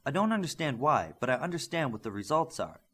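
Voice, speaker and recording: A man's voice: mid-range voice, sing-song, fairly quick, a bit bright, husky, pitched above the performer's own voice. A man voicing a motherly woman scientist with an eager, emphatic manner in an audiobook. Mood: intrigued.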